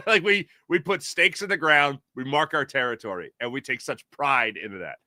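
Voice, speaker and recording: A man's voice: medium pitch, moderate pace, bright, forceful tone, no echo, clean studio sound. An American man from the Philadelphia area hosting a sports show, talking in a casual, emphatic, conversational storytelling way. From Philadelphia area, United States. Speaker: sports talk show host. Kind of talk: casual sports talk monologue.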